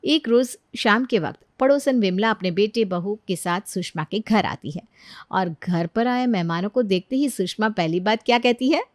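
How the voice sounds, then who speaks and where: A woman's voice: mid-range voice, lively intonation, normal speed, no echo, clean studio sound. A woman narrating a scripted story expressively as a storyteller.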